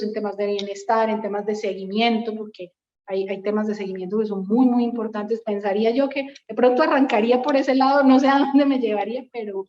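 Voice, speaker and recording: A woman at a mid pitch speaks as a panelist, thinking aloud in a tentative way. Her voice sounds roomy and echoey. The audio is dull and lo-fi. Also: darkish tone. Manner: reflective, tentative. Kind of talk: panel discussion.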